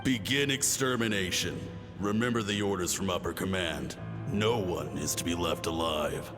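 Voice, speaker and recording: A man voicing a cruel, sneering military commander in a game, with a mocking and menacing drawn-out delivery. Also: sits low, lightly acted. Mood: cold, commanding. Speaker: voice actor in a game cutscene. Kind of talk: dramatic game voice acting. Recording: long reverb, music underneath.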